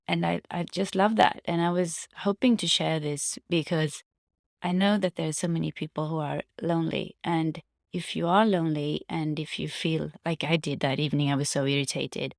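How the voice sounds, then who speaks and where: A woman with a low voice shares personal reflections in a casual monologue. Her delivery has plenty of vocal fry, and she often pauses to search for words or restate a phrase. The sound is dry and close, with no room echo. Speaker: vlogger sharing personal reflections.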